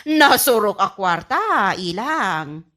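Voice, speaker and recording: A woman's voice: medium pitch, sing-song, slow pace, bright, forceful tone, heavily acted. A woman playing a scheming, boastful, whiny wife in an Ilocano radio comedy drama, with an Ilocano accent and a theatrical delivery. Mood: boastful, sassy. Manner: theatrical, whiny, stammers evasively when cornered. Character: scheming, boastful wife who spends borrowed money on appliances.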